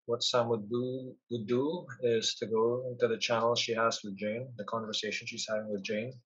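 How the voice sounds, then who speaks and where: A man presenting a software demo in an instructional, step-by-step explanatory style over a screen share. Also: medium pitch, level tone, normal speed, husky, lo-fi sound.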